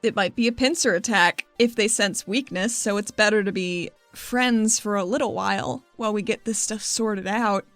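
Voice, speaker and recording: A woman's voice: medium pitch, normal speed, hardly any pauses, a bit bright, no room sound, soft background music, close to an everyday voice. A woman voicing an earnest, pragmatic young female negotiator in a tabletop roleplaying game. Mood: pragmatic pleading.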